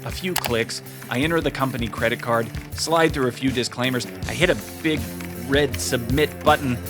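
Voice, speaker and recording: A man's voice: medium pitch, moderate pace, music in the background, close to an everyday voice. An actor playing an aggrieved, self-important male marketer in a comedic audio drama, with a wry conversational delivery. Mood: defiant, boastful.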